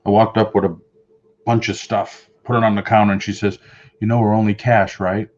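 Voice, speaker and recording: A man's voice: on the low side, flat delivery, a little fast, darkish tone, muffled recording. A middle-aged man with a Boston accent, chatting casually on a home live stream, rambling and answering viewer comments. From Boston area. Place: home room with shelves of collectibles.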